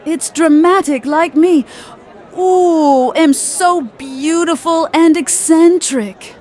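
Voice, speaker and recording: A woman's voice: very high-pitched, slow pace, darkish tone. A woman voicing a vain, eccentric puppet diva in a theatrical, self-admiring way, with a faint crowd behind. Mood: vain, dramatic.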